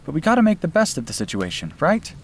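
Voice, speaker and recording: A man's voice: on the high side, wildly swooping pitch, fast talker, a little hiss or hum, voice pushed up. A man voicing a caring, slightly sardonic young woman in a narrated story. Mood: gentle, uneasy. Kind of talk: audiobook-style character dialogue.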